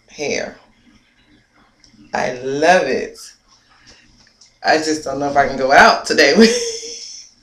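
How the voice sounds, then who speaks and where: A young woman chatting casually to a webcam about her hair, playful and amused, sometimes dropping to a whisper. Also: lowish voice. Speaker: hair vlogger. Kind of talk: casual vlog chat. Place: small indoor room. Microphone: webcam at close range. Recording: echoey room with faint noise.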